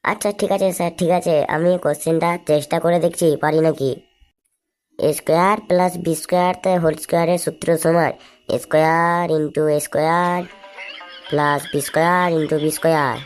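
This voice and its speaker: A woman voicing a cheeky, slow-witted schoolboy in a comic cartoon, whining and protesting. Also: low voice, steady tone, faint music behind, natural voice. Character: cheeky, slow-witted schoolboy pupil answering back to his teacher.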